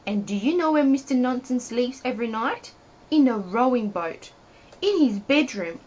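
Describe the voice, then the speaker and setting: A woman reading a children's story expressively, addressing the young listener with playful questions in a husky voice. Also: on the high side, animated, normal speed, a little mellow. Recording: dull lo-fi home recording.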